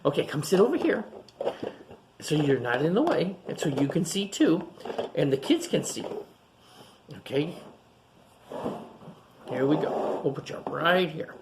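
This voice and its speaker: An animated reader of picture books for young children, with a mid-pitched voice that moves up and down a lot. The reading is broken up with simple explanatory asides to the listeners. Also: unhurried, pauses often, background noise. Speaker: storytime reader.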